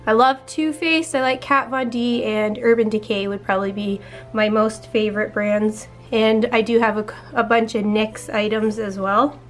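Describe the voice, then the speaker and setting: A young woman chatting casually while doing her makeup, her voice a little raspy, walking through each step in a relaxed way. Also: a little high, normal speed, faint music behind. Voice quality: slightly raspy. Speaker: beauty vlogger.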